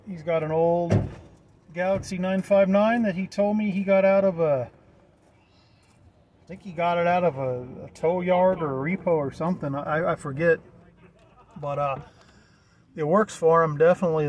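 An American man with a fairly high voice chats casually as he films a walk-around. His talk is slangy and enthusiastic. He is outdoors on a handheld mic, with clear noise behind him. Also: fairly even intonation, moderate pace, darkish tone. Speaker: vlogger filming a CB radio meetup. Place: outdoors in a gravel parking lot. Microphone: handheld camera or phone mic.

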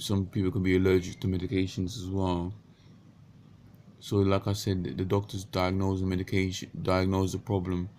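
A man speaking into a close webcam in a small bedroom, his voice deep and gravelly with morning croak. He talks in a flat, dark tone, stumbling and restarting his sentences often. A faint hiss sits under the recording. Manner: halting, many restarts and stumbles. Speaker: vlogger. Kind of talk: unscripted casual monologue. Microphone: webcam at close range.

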